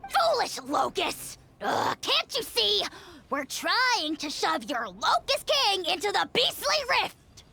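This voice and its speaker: A woman voicing a bratty, haughty little creature in a video game, excitable and scornful. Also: very high voice, takes it slowly, creaky, hardly any pauses, exaggerated voice. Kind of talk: cartoonish video game voice acting.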